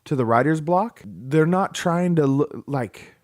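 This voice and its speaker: A man's voice: fairly high pitch, expressive, unhurried, a little mellow. Teasing man co-hosting a podcast. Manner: joking, teasing, restarts a lot. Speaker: podcast co-host. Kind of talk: casual banter.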